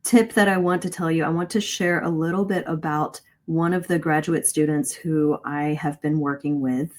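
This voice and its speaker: A woman's voice: deep voice, steady tone, moderate pace. A middle-aged woman coaching English pronunciation in a live webinar, talking earnestly and conversationally, often correcting herself mid-sentence. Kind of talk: live-streamed webinar teaching.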